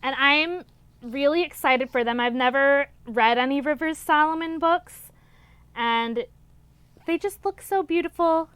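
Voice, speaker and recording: A woman's voice: high voice, a little slow, a bit bright, dry recording. A young woman chatting casually and enthusiastically as a vlog host, gushing and repeating words for emphasis. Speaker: book reviewer, vlog host. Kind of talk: casual book vlog chat.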